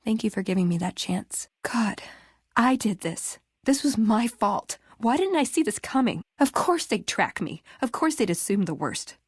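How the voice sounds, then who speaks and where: A woman's voice: medium pitch, animated, normal speed, breathy edge, dry recording, studio quality. An actress playing a friendly, wry young American woman in a video game, with casual, playful, sardonic conversational delivery. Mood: regretful, subdued. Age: young adult. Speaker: video game companion character. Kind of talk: scripted game dialogue, conversational.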